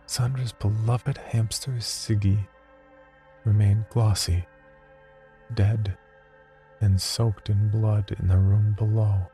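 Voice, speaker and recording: An actor playing a haunted, unravelling man confessing dark thoughts in a horror audio drama diary, with brooding, measured delivery. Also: lowish voice, level tone, soft background music. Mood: cold, haunted. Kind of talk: horror audio drama monologue, first-person diary narration.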